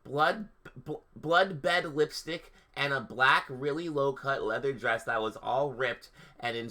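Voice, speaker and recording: A man's voice: medium pitch, bright, forceful tone. A man hosting a livestream, giving a theatrical, mocking dramatic reading of fan fiction with casual asides. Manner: theatrical, mocking delivery that breaks into laughter. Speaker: livestream host.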